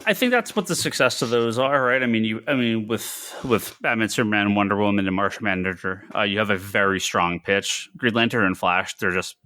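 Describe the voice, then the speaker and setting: A man with a fairly low voice and a bright, forceful edge. He talks informally on a comics podcast, explaining things as a shop retailer would in a casual panel discussion. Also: fairly quick, few pauses.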